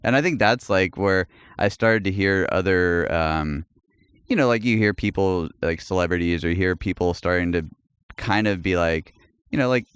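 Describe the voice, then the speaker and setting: A deep-voiced man co-hosting a podcast in easygoing, rambling chat, often breaking into a laugh. His voice is smooth and clear. The recording is dry and close, with no room sound. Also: moderate pace, dry recording. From United States. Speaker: podcast co-host. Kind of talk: casual chat.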